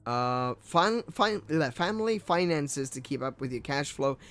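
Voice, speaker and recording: A man's voice: a little high, expressive, measured pace, a bit bright, no room sound, faint music behind. A young man and gaming streamer reading old computer ads aloud with his own commentary, tripping over words and laughing at himself.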